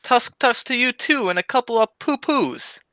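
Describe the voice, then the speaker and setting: A woman's voice: on the high side, expressive, moderate pace, background noise, close to an everyday voice. A woman playing a dim but cheeky male sidekick in an old-time radio comedy, delivering wisecracks with childlike innocence. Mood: smart-alecky.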